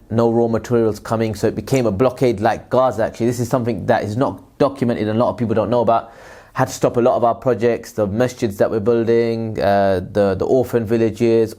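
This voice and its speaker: A man's voice: medium pitch, steady tone, fast, warm tone. A man who works in aid, answering viewers' questions in a casual vlog, with a loose, rambling delivery full of fillers and religious phrases. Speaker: aid worker and vlogger.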